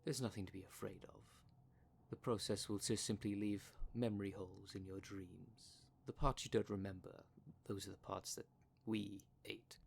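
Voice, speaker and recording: Man playing a courteous, low-voiced pedantic dream demon. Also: little pitch movement, moderate pace, gravelly, slight background noise. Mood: soothing, polite. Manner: formal, wordy, precise diction. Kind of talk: ASMR roleplay audio drama.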